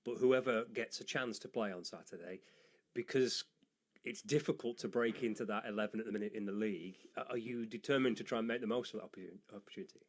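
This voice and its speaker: A man's voice: fairly low pitch, fast talker. A man interviewing a footballer with short questions, sometimes stumbling and restarting his words. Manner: asks short questions, some slips and restarts. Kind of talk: sports interview.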